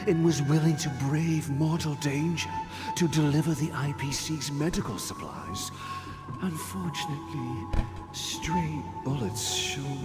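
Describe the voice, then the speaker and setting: An authoritative master of dreams in a video game. He is formal, grave and calculating, with big swings of pitch in his deliberate speech. Music is loud behind him. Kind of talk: scripted game dialogue.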